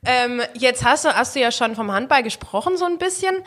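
A woman's voice: a little high, lively intonation, fairly quick, fairly bright tone. A woman hosting a podcast, asking short friendly questions and laughing along with her guest. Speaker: podcast host. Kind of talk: casual interview.

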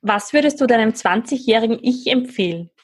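Husky-voiced woman hosting a podcast, friendly quick questions. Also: a little high, lively intonation. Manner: friendly, short affirmations. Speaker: podcast host. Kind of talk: interviewing a guest.

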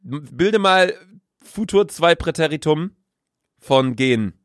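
A young man co-hosting a casual podcast, slangy and quick to laugh, rambling through his points. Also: a little high, lively intonation, dry recording, studio quality. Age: young adult. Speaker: podcast co-host. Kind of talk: casual banter.

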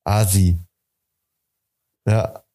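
A man's voice: deep voice, fairly even intonation, very slow, mellow. A man from North Rhine-Westphalia chatting casually as a podcast co-host, putting in brief, dry remarks. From North Rhine-Westphalia, Germany.

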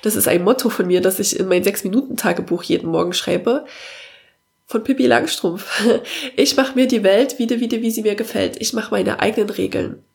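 A woman's voice: mid-range voice, normal speed, gravelly, darkish tone. A young German woman with a cold, hosting her own coaching podcast in an earnest, encouraging way with many filler sounds. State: has a cold. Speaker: podcast host and parenting coach. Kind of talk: casual spoken monologue.

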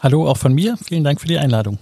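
A man's voice: a little high, lively intonation, very fast, gravelly, dark tone, breathy edge, no room sound. A man answering panel questions as a guest, thoughtful and reflective.

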